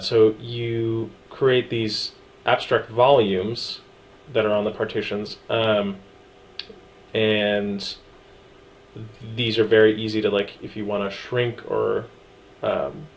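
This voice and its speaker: A man casually talking through a software setup tutorial, relaxed and chatty with frequent ums and small asides. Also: lowish voice, a little hiss or hum, rough recording. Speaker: tutorial presenter. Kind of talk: screen-recorded software walkthrough.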